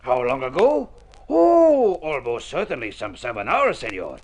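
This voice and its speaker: Excitable Spanish-accented alcalde, swooping pitch. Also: male voice, measured pace, full character voice. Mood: urgent, excited. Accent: stage Spanish. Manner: effusive, exclamatory, chuckling host. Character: excitable, fussy Latin American alcalde and father. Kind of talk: old-time radio drama acting.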